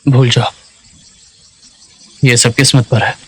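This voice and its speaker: A man dubbing a calm, resolute boss in a drama, speaking in a curt, serious way. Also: medium pitch, slow, husky. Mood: resigned, serious. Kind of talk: Hindi dubbed drama acting.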